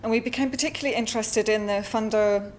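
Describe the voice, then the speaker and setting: A woman with a Spanish accent giving a conference talk over slides, halting often with fillers and self-corrections. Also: fairly high pitch, fairly even intonation, a little hiss or hum. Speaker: artist presenting at a symposium. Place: lecture hall.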